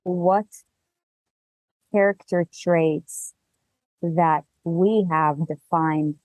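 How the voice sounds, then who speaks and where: A woman's voice: lowish voice, fairly even intonation, extremely slow, a little mellow, dry recording, poor audio. A woman, a Hebrew speaker, explaining her brand's mission as an interview guest in a purposeful way. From Israel. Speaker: guest, business co-founder. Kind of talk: conversational interview.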